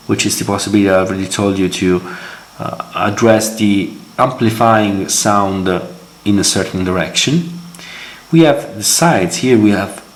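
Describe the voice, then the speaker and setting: Low-voiced non-native man in an echoey room. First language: not English. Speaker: tech reviewer, host of a gadget channel. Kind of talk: hands-on product demo, unscripted. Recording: echoey room, faint background noise.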